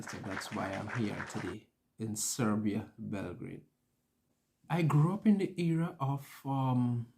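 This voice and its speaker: A young man chatting casually to an audience, telling personal stories and acting out what was said. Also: medium pitch. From an island. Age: young adult. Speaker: vlogger. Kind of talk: casual personal storytelling to camera.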